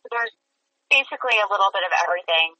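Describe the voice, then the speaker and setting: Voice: medium pitch, expressive, rapid-fire, sharp tone, no echo, recorded from a distance. Young actor from Massachusetts, over a call. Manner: earnest, chatty, frequent "um". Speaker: musical theater actor, interview guest. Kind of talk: casual interview answers. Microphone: phone or call line. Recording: lo-fi call audio.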